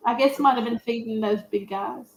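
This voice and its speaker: Higher-voiced woman in an echoey room. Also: fairly quick, gravelly, slight background noise. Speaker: movie podcast co-host. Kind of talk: casual movie discussion.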